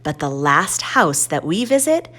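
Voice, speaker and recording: A woman reading a storybook aloud to children in a deliberate, expressive storytelling manner. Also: low voice.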